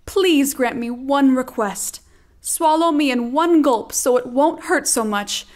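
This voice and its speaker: Woman playing a high-voiced, pleading puppet sheep. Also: slow pace. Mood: meek, pleading. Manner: pleading, melodramatic. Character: clever female sheep feigning meek helplessness. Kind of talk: children's puppet show character acting.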